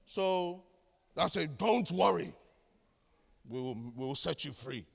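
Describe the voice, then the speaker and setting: A man with a Ghanaian accent preaching a sermon as pastor, telling stories with humor and swinging from shouts to hushed asides. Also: on the high side, animated, slow pace, gravelly. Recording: long hall reverb.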